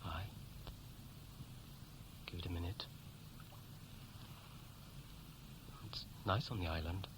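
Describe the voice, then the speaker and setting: A man's voice: low voice, fairly even intonation, moderate pace, lightly acted. An actor playing a restless, evasive young Welshman in a 1960s radio play, speaking in a hesitant, colloquial way. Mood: wistful, bashful. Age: young adult. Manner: hesitant, colloquial, self-correcting. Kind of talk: 1960s radio play acting, naturalistic dialogue. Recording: noisy vintage 1960s radio recording.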